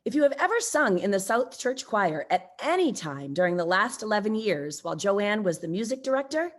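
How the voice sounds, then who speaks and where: A woman with a medium-pitched voice reads out church announcements. Her delivery follows a prepared script of notices. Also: sing-song, fairly quick.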